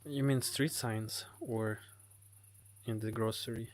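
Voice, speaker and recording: A man's voice: medium pitch, fairly even intonation, slow pace. A Ukrainian man with a Ukrainian accent, hosting a live stream and answering chat comments in hesitant English full of fillers. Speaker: livestream host. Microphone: phone.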